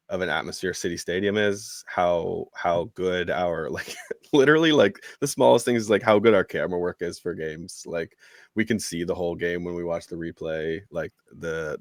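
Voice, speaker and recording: A man's voice: low-pitched, no room sound, studio quality. A man co-hosting a podcast, talking sports casually in loose, conversational phrases. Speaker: podcast co-host.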